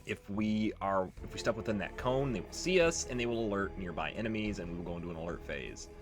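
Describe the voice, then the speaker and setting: A man's voice: fairly low pitch, lively intonation, fast talker. A man doing casual, rambling video game commentary as a let's play host, informal and nostalgic. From United States. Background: video game music.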